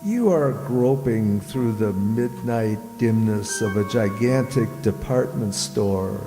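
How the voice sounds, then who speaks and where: A man narrates like an old-time radio announcer, theatrical and ominous. His creaky voice moves slowly through a reverberant hall, with music behind him. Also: lowish voice. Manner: theatrical, ominous, deliberate pauses. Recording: echoey hall, live stage with music. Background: dramatic music.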